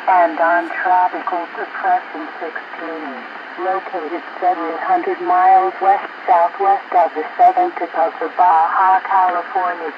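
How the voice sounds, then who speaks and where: A radio announcer reading a formal marine weather bulletin in a measured, impersonal way over shortwave single-sideband radio. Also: mid-range voice. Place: radio broadcast played through a small receiver speaker. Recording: noisy, muffled shortwave radio reception. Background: loud static noise.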